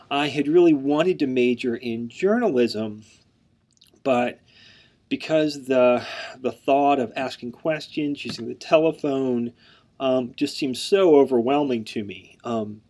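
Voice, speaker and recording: A man's voice: mid-range voice, measured pace, darkish tone. A man in his thirties introducing himself as the instructor of an online course. He speaks earnestly, with a mild stutter, word repetitions and frequent "um" fillers.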